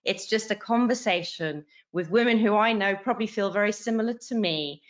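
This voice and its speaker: A woman's voice: medium pitch, fairly quick. A woman in her forties, a guest author on a video call interview, talking earnestly and animatedly, often restarting her phrases. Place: bright home room. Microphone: video call webcam. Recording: dull lo-fi video call audio.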